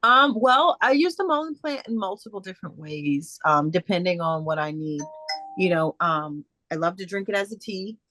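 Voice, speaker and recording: A woman speaker answering audience questions in a casual, halting way, with many fillers and restarts over a video call. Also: fairly low pitch, lively intonation, bright, forceful tone, no room sound. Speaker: guest speaker.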